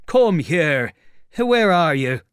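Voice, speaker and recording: A man's voice: a little high, big pitch swings, measured pace, darkish tone, dry recording, clean studio sound, pitched above the performer's own voice. A man voicing a bewildered, frightened, grumbling tramp with plaintive exclamations, as acted storybook dialogue. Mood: alarmed, bewildered.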